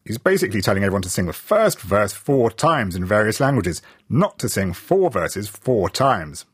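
A man presenting a scripted radio news feature, reading his narration with lively delivery. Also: on the low side, normal speed, slightly rough, dry recording, clean studio sound.

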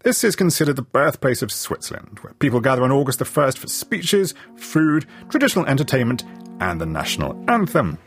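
A man presenting a scripted radio news feature, reading his narration with lively delivery. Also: medium pitch, brisk, husky, soft background music.

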